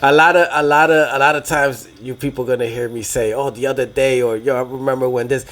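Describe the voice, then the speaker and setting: Loose-talking Dominican American podcast host. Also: male voice, medium pitch, fairly even intonation, quick pace, fairly bright tone. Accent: Dominican American, New Jersey. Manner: loose, profane, switches into Spanish. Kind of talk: casual banter.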